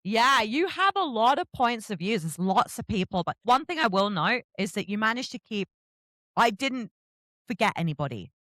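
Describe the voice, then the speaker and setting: A woman with a highish, very animated voice hosts a casual interview. Her pitch swings widely as she reacts effusively and chats with her guest. The recording is dry, with hardly any room sound. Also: moderate pace, no room sound. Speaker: host interviewing authors.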